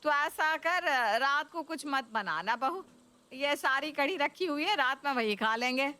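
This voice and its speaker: Woman acting an older Indian mother-in-law, food-loving, demanding, exclamatory, coaxing, drawn-out words, highish pitch, cartoon story. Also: expressive, sharp tone, full character voice.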